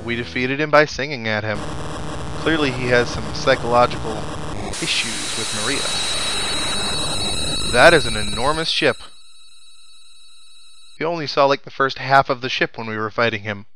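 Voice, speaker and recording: A man's voice: medium pitch, moderate pace, long pauses, background noise. A man giving casual, chatty let's-play commentary on a video game, with wry asides. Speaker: let's player. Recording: game music behind the voice. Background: video game music.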